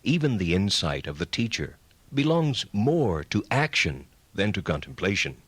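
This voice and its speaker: A learned medieval friar speaks in a low, deliberate voice. He is formal and courteous, setting out his reasoning like a patient teacher in an audio drama, close and dry. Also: sing-song, a bit bright, breathy edge, no room sound, full character voice. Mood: measured, explanatory. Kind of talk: dramatized reading of philosophical writings.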